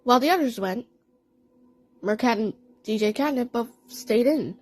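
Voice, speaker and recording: A woman reading out a scripted story with an expressive, storytelling delivery. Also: a little high, moderate pace, rough recording. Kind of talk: scripted fan story narration.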